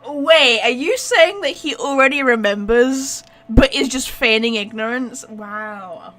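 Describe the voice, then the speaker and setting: A woman's voice: fairly high pitch, lively intonation, unhurried, pushed and bright. A woman hosting a casual let's-play, informal and reactive, thinking aloud as she goes. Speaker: gaming video host.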